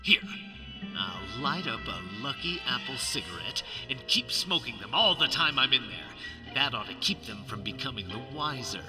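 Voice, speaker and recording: An actor playing a pompous, square, chauvinist superhero detective in a parody of an old-time radio serial, exclaiming melodramatically. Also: male voice, mid-range voice, animated, normal speed, lightly acted. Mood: grave, scheming. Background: music.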